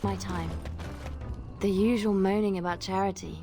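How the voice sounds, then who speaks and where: A woman's voice: medium pitch, little pitch movement, moderate pace, natural voice. A woman playing a wry, knowing young rogue adventurer in a video game. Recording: music behind game dialogue.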